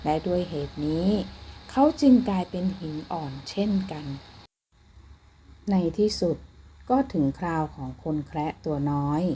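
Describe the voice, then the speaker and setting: A woman's voice: low-pitched, a little slow, warm tone, slight background noise, low-quality recording. A woman narrating a scripted children's bedtime fairy tale as a storyteller.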